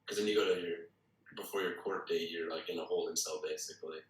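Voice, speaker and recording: A man's voice: deep voice, steady tone, fast talker, recorded from a distance. A man explaining something casually in loose, informal phrasing. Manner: informal, repeats filler "like". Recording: very echoey room, off-mic.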